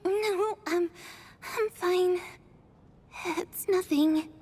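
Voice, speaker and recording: Very high, slow, meek young girl. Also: darkish tone, full character voice. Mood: meek, unwell. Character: meek, polite young girl feeling unwell. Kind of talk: video game voice acting.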